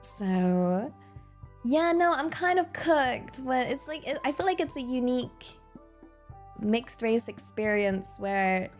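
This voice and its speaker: A young woman with a British accent talks through her thoughts on a livestream at an unhurried pace, full of hesitant fillers and restarts. Her tone is reflective and candid, with soft music playing faintly behind her. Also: a little high, expressive. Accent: British English. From England. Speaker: streamer. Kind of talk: casual chat with live chat readers.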